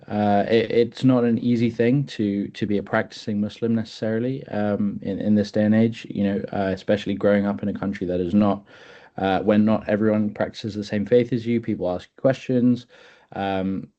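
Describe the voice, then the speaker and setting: A man in his twenties from England, speaking in a thoughtful, halting, earnest way as a webinar panelist. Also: on the low side, dark tone, lo-fi sound. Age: 20s. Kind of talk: personal talk in a webinar. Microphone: video call.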